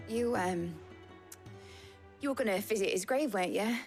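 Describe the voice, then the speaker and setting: A woman's voice: mid-range voice, normal speed, a little mellow, faint music behind, close to an everyday voice. An actress playing an earnest, hopeful young female engineer with a British accent, speaking informally and from the heart in a video game drama. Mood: resolved, fond.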